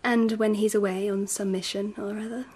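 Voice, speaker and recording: An actress playing a gracious, teasing and wistful Empress in a radio drama, speaking softly and often close to a whisper, in a gentle, measured way. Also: female voice, medium pitch, little pitch movement, close to an everyday voice. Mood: teasing, probing. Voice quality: soft, breathy, often near whisper. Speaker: actor.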